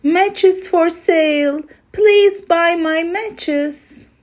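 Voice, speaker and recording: A woman's voice: very high-pitched, very slow, voice pushed up, heavily acted. A woman voicing a poor, lonely little match girl in a storybook tale, with imploring delivery. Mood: pleading. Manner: soft, imploring calls.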